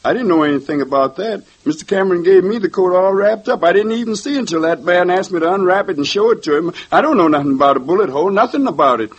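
Nervous young suspect, husky voice, defensive, old radio play. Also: male voice, high voice, animated, quick pace, hardly any pauses, muffled recording. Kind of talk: 1950s radio drama acting.

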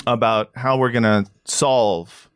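A man's voice: medium pitch, steady tone, slow pace, clean tone, dry recording, clean studio sound. A man as a podcast guest, sarcastic and rambling, with lots of fillers. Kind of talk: casual podcast chat.

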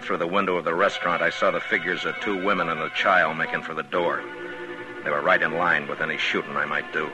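Very deep-voiced, stoic western marshal. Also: male voice. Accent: American. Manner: terse, deadpan, commanding. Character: stoic, grim frontier marshal. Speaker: lead actor and first-person narrator. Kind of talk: 1950s radio western acting, scripted narration. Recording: vintage 1950s radio drama recording, music behind.

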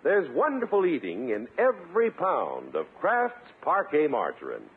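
A man's voice: fairly high pitch, wildly swooping pitch, measured pace, husky. A man working as a radio announcer, reading scripted introductions and sponsor copy in a polished, emphatic 1950s style. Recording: narrow-band 1950s radio recording.

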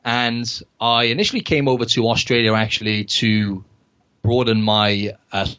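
A man's voice: medium pitch, steady tone, moderate pace, sharp tone, clear, resonant tone, dull audio. A man from Wales, answering interview questions casually with hesitant restarts. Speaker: podcast guest, personal trainer.

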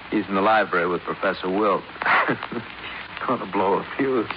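A man's voice: sits low, a little slow. An actor playing a spoiled, sardonic son in his twenties, glib and mocking, in 1950s radio drama style. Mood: gleefully mocking. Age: 20s. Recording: noisy muffled 1950s radio recording.

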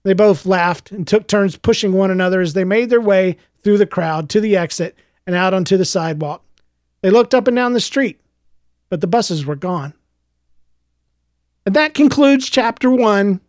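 A man with a slightly gravelly voice reading aloud from his own book, then chatting hesitantly with his listeners as a podcast host, with lots of fillers and restarts. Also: high voice, moderate pace, a little mellow, no echo, studio quality. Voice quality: a little gravelly.

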